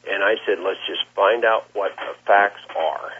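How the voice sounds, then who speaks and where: A man, a plain-spoken cattle rancher giving a halting account in an interview over the telephone. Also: lowish voice, fairly even intonation, a little hiss or hum. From Nebraska, United States. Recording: muffled, lo-fi phone interview line.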